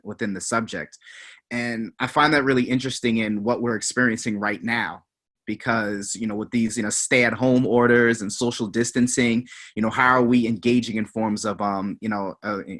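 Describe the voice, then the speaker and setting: A man moderating a panel on a video call, soft-spoken and hesitant, with many fillers. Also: lowish voice.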